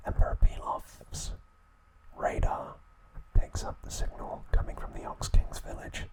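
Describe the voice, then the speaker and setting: A man hosting ASMR, whispering in a breathy, unvoiced voice with gentle, deliberate phrasing. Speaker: ASMR channel host. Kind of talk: whispered ASMR narration and trivia.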